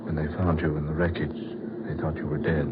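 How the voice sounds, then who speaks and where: Old radio drama with heavy noise: a low-voiced gentleman sweetheart and backer, smooth-talking, reassuring and a little theatrical. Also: level tone, fairly quick. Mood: concerned, soothing. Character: devoted, reassuring male sweetheart and backer of a singer. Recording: dull, very noisy vintage radio recording.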